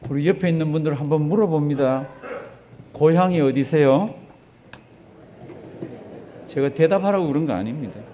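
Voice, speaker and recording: Mellow-voiced man preaching conversationally. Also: on the high side, moderate pace, long pauses, a little hiss or hum. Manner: conversational, asks the congregation rhetorical questions and has them respond. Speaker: pastor preaching to a congregation. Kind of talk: sermon.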